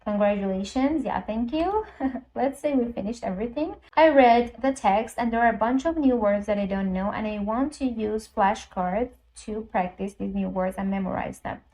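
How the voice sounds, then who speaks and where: A woman with a fairly high voice, a native Persian speaker talking in English. She walks viewers through an app one step at a time in a relaxed, chatty way. Her talk is full of ums and uhs. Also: normal speed, rough recording. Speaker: language teacher and YouTuber. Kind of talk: casual screen-recorded app walkthrough.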